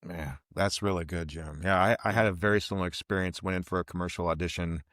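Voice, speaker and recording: Low-voiced male podcast host. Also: steady tone, fast talker, fairly bright tone, no echo, studio quality. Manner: encouraging, affirming. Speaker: podcast host interviewing an actor. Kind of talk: casual interview chat.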